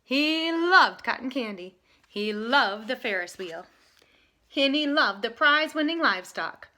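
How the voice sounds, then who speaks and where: A woman teaching and reading a picture book aloud with emphatic, expressive delivery. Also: on the high side, unhurried. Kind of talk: lesson talk and animated storybook reading.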